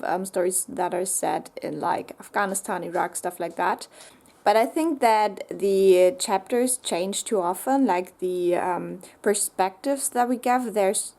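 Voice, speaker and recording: A woman chatting casually in a vlog as a book vlogger, relaxed and talkative, often filling pauses with "um" and "like". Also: mid-range voice.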